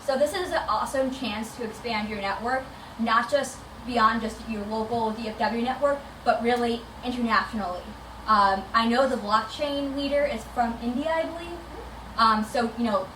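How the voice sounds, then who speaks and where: Higher-voiced woman presenting in an echoey room. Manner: enthusiastic, conversational. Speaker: chapter director presenting. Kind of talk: informal presentation to a meetup. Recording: echoey noisy room, distant microphone.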